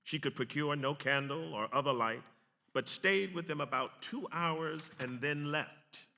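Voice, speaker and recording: A man declaims a historic text in a formal, emphatic style. His voice is fairly high and extremely bright and tense, with long reverb from a big hall. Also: measured pace, vocal fry. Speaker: actor reading aloud. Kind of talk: dramatic reading of a historic pamphlet.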